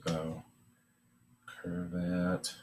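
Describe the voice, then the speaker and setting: A man giving an informal, unscripted drawing demonstration, thinking aloud in a halting way as he teaches. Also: deep voice, monotone, a little mellow, a little hiss or hum.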